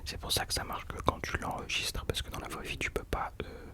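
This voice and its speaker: A man hosting ASMR in an unvoiced whisper, chatting informally and hesitantly, correcting himself as he goes. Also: fairly quick. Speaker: ASMR host. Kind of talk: whispered ASMR chat about rappers.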